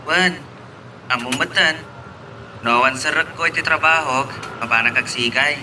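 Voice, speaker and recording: An actor as a young Ilocano man, a concerned big brother with a fairly high voice. His lines are acted in audio drama style. Clear noise sits behind him. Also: a little slow, natural voice. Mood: explaining.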